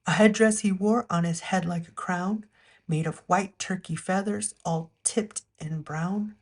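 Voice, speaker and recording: A woman's voice: on the low side, fairly even intonation, a little slow. Woman reading a rhyming children's story aloud. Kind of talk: read-aloud rhyming picture-book narration.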